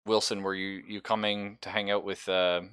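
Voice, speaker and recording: Bright-voiced man running a tabletop game. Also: on the low side, little pitch movement, normal speed, clean tone. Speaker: game master. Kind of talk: casual narration of a tabletop game.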